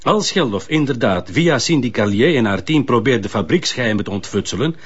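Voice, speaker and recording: An actor playing a level-headed police detective with a husky voice and a Flemish accent. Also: male voice, mid-range voice, lively intonation, a little fast. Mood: thoughtful. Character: level-headed male police colleague, reasoning calmly. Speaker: actor in a crime drama. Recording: dull lo-fi broadcast audio.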